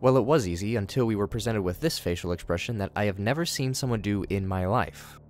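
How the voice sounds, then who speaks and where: A man's voice: lowish voice, quick pace, faint music behind. A man giving scripted but casual commentary, with wry conversational asides. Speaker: host of a horror series commentary channel.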